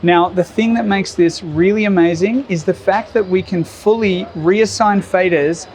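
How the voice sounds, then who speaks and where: Higher-voiced man demonstrating a product. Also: moderate pace, darkish tone.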